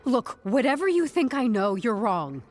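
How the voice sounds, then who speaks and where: An actress playing a nervy, sarcastic young-to-the-job HR employee held captive, flustered and wisecracking, in a comedic audio drama. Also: female voice, a little high, sing-song, normal speed, faint music behind.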